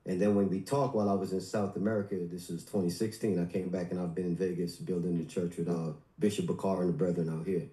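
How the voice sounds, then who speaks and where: A man's voice: low-pitched, level tone, quick pace, hardly any pauses, warm tone, echoey room. A man in his forties from Guyana, calling in to a church livestream and telling his story with deference. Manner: deferential, says sir often. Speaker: caller, church member. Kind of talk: casual call-in testimony.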